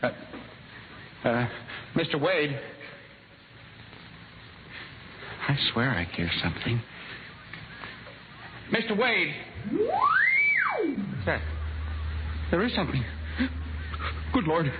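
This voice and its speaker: A man's voice: high voice, wildly swooping pitch, slow pace, long pauses, full character voice. An actor playing a frightened, stammering middle-aged man in a 1950s radio drama. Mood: frightened, bewildered. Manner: stammering, panicky, breathless exclamations. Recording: noisy vintage radio recording, muffled narrow band.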